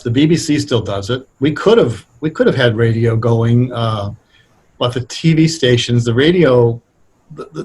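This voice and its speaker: A mature man with a somewhat husky, low-clarity voice and a middling pitch. He speaks as a guest in a casual interview, thinking his way through explanations with reflective hesitation. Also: darkish tone. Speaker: guest, radio drama writer.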